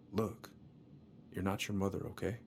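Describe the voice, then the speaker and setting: A man playing a concerned middle-aged uncle in a naturalistic video game drama, gravelly, earnest and halting. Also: low-pitched, a little hiss or hum. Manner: earnest, halting, repeats words. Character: concerned, gentle middle-aged uncle consoling his niece.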